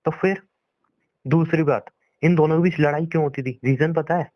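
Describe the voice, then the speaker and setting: A man's voice: fairly high pitch, fairly even intonation, normal speed, no echo, highs cut off. A young man arguing in a private phone conversation, insistent and pleading, often repeating himself. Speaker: boyfriend on a phone call.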